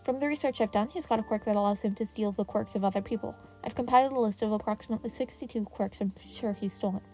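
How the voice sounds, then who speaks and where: A woman's voice: mid-range voice, fast, mellow, soft background music, close to an everyday voice. A woman voicing a clever, guarded teenage boy in an audio story, explaining in a casual, determined, matter-of-fact way.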